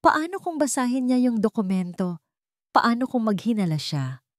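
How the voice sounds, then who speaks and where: Babaeng gumaganap bilang kabadong sunud-sunurang anak na lalaki. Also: female voice, fairly high pitch, big pitch swings, moderate pace, dry recording, studio quality, pitched above the performer's own voice. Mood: nervous. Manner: nervous, hesitant. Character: anxious, weak-willed adult son under his mother's thumb.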